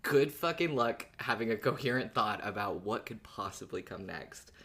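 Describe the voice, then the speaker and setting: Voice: medium pitch, animated, moderate pace, fairly bright tone. A podcast co-host chatting casually and expressively in a fan discussion, swearing freely.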